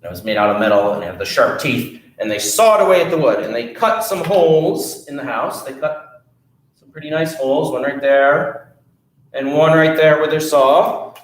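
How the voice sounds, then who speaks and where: A man telling a children's story at a library storytime, animated and playful, chatting with his young listeners. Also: fairly high pitch, moderate pace, reverberant, low-quality recording.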